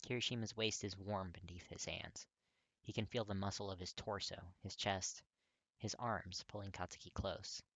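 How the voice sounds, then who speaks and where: A man reading fiction aloud as scripted, expressive story narration. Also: low voice, moderate pace, no room sound. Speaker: audiobook-style fan fiction reader.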